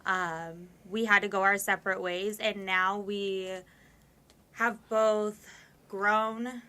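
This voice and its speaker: A woman's voice: medium pitch, fairly even intonation, slow, sharp tone. A woman in her twenties, a podcast guest, talking candidly and at length with many fillers. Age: 20s. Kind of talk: casual conversation.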